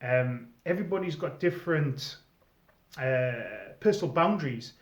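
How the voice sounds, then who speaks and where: A man's voice: mid-range voice, expressive, measured pace. British man vlogging in an echoey room. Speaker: video host, experienced live role-player. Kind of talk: informal advice vlog talking to camera. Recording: roomy echo, slightly dull sound.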